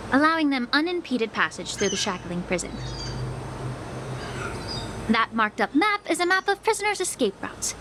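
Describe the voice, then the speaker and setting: A woman's voice: a little high, background noise. A woman voicing a poised, courteous and shrewd healer official in a video game, formal and composed. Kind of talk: scripted video game dialogue. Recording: game audio with music behind.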